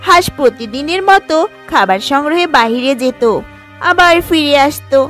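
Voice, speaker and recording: High-pitched, animated woman storyteller. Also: moderate pace, faint music behind. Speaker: narrator. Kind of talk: scripted children's fairy-tale narration.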